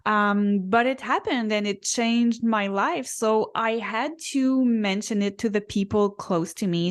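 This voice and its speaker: A woman in her forties, co-hosting a spiritual podcast, telling her own story slowly and reflectively, with frequent ums. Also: medium pitch. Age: 40s. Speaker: podcast co-host, Akashic reader. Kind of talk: casual podcast chat.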